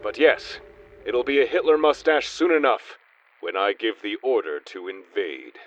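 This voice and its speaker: A man's voice: lowish voice, moderate pace, lightly acted. A man playing a pompous, brazen secret son of a dictator in a melodramatic comedy radio play. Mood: smug. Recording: dull lo-fi with music.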